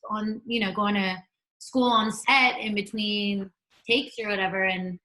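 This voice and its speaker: A woman's voice: medium pitch, steady tone, unhurried, sharp tone, rough recording. A woman, a former child actor, chatting casually at a cast reunion on a video call. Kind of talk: casual chat.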